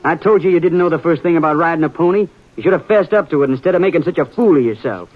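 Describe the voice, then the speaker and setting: An actor playing a cocky ranch hand who mocks a greenhorn in a 1950s radio western, with a taunting drawl. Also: male voice, a little high, very fast, close to an everyday voice. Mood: scornful. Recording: dull old radio broadcast.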